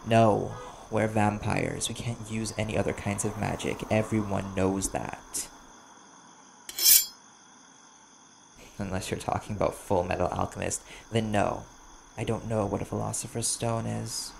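Man playing sharp-tongued vampire hunter, very creaky low voice, wary and blunt, audio roleplay. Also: fairly even intonation, moderate pace. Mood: exasperated. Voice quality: gravelly, creaky. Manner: guarded, blunt, earnest. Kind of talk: ASMR audio roleplay acting. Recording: clear background noise, chirping crickets.

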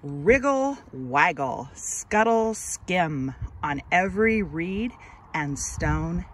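A woman hosting an outdoor read-aloud for children, speaking to them with deliberate, expressive enunciation. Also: on the low side. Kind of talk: children's story read-aloud and friendly outdoor talk to kids. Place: outdoors on a grassy trail. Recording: outdoor ambient noise.

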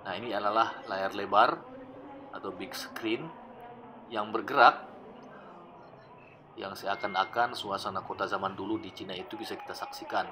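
A man narrating his old travel footage in a relaxed, explanatory voice-over, often pausing with fillers. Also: fairly low pitch, background noise. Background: background music.

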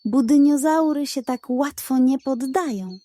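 A woman's voice: sits high, measured pace, warm tone, dry recording, pitched above the performer's own voice. A woman voicing a scheming, hungry male pug in a children's storybook, with a pleading, theatrical, self-important manner. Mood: determined.

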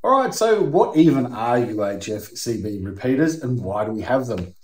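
Australian man, middle-aged, medium pitch, conversational explainer, emphatic teaching delivery, echoing workshop. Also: sing-song, normal speed, a little mellow. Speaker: host. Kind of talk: explanatory video to camera. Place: workshop or garage, indoors. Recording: roomy, echoing indoor sound.

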